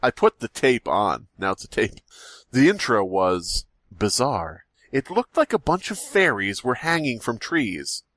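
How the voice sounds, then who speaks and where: A man's voice: mid-range voice, very animated, measured pace, a bit bright, no echo. Guy reading a trash story on a podcast, voice all over the place, super dry sound. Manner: crude, laughing, breaks into mock song. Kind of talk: casual comedic reading and banter.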